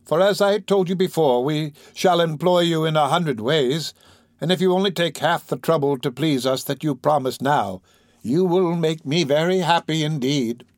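A man voicing a kind, well-bred young lady in an audiobook, tender and measured. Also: a little high, dry recording, clean studio sound, pitched above the performer's own voice, natural voice. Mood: kindly. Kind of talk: audiobook character voice.

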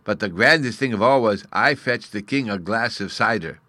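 A man's voice: lowish voice, moderate pace, bright, forceful tone, no room sound. A man voicing a tiny, earnest and proud boy fairy from a storybook, scornful at times, in childish baby talk with mispronounced words. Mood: grave, proud. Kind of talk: storybook character reading.

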